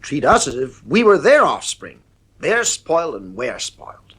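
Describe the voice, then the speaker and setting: Man acting a stern father, animated delivery. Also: fairly high pitch, measured pace, breathy edge, heavily acted. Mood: exasperated. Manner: commanding, irritable. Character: stern, exasperated middle-aged father losing his authority. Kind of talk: science fiction audio drama acting.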